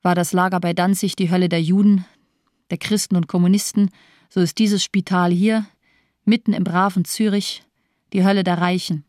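A cold, cynical female doctor from a radio crime play, acted by a woman. Her voice is low and dark, recorded close and dry. She declaims her bleak, nihilistic view of mankind with disdain. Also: little pitch movement, moderate pace, no room sound, close to an everyday voice. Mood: bleak, resigned. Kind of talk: German radio crime drama monologue.

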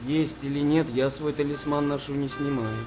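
A man's voice: on the high side, level tone, normal speed, lightly acted. A man playing an earthy, grumbling wartime navy sailor with coarse, bantering talk in a Russian radio play. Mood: chatty. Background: music behind the voice.

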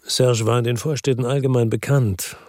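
A man's voice: mid-range voice, steady tone, normal speed, a little mellow, no room sound, studio quality, close to an everyday voice. An actor playing a world-weary, tough detective, narrating his own story in a terse, matter-of-fact way for a radio drama. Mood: reflective.

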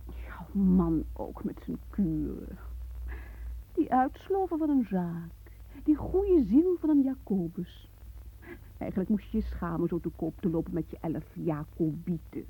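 An actress playing a sharp-tongued married daughter of a marshal who teases and mocks her sister, sometimes dropping to a whisper. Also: lowish voice, very animated, unhurried, husky, full character voice, low-quality recording. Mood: mocking. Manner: teasing, mocking, drops to whispers.

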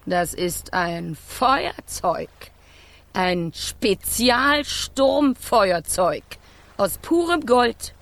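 A woman playing a gruff, stubborn countrywoman in a German children's radio play, theatrical and emphatic. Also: medium pitch, lively intonation, extremely slow, fairly bright tone, no echo, exaggerated voice. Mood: defiant, proud. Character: Hühnerfriede, gruff, stubborn countrywoman who keeps hens.

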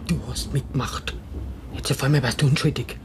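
A woman's voice: rumbling low, a little fast, darkish tone, a little hiss or hum. An actress playing a hard, scheming, middle-aged mother who quarrels in Bavarian dialect in a radio drama. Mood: cold, scolding.

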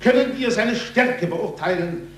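Ceremonious male orator, high-pitched and declamatory, vintage radio drama, reverberant. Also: expressive, unhurried, a bit bright, a little hiss or hum. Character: pompous male university official addressing Venetian nobles. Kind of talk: 1940s radio acting. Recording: echoey 1940s radio recording.

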